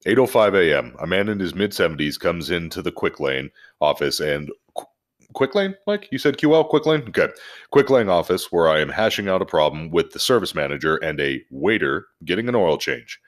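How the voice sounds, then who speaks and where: A man with a very low voice hosts a casual podcast. He is jokey and freely profane, sounding like someone swapping stories with friends. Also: big pitch swings, brisk. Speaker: podcast host. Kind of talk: casual podcast chat and animated story reading.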